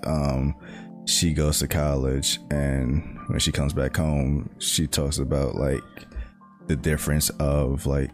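A young American man talking in a halting, reflective way, full of "like" fillers, in a casual monologue. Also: a bass voice, flat delivery, darkish tone. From United States. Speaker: speaker sharing personal reflections. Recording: guitar music playing underneath.

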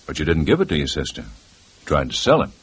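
An actor playing a calm, firm male police detective who questions a suspect in a 1950s radio drama. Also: rumbling low, brisk, breathy edge, dry recording, heavily acted. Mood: probing. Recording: old radio recording with faint hiss.

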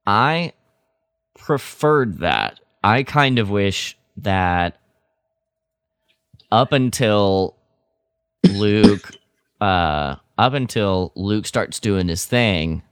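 A man co-hosting a podcast, chatting casually in halting bursts. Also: on the low side, animated, fairly bright tone, smooth, no echo. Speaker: podcast co-host. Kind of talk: casual chat.

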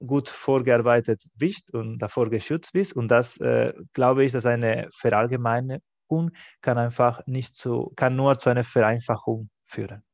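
Guy answering questions after a talk, mid-pitched, slow and thoughtful, flat dry sound. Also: dry recording. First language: Catalan. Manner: measured, hesitant, thinking aloud. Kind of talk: academic talk and Q&A.

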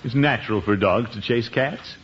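An actor playing a pompous, flustered middle-aged bachelor uncle in a 1950s radio sitcom, blustery with drawn-out reactions, on a dull, hissy old recording. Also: male voice, mid-range voice, natural voice.

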